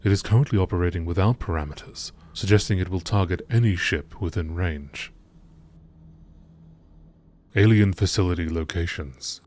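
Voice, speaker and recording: A man reading scripted lore in a measured, formal documentary narration style. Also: deep voice, a little mellow.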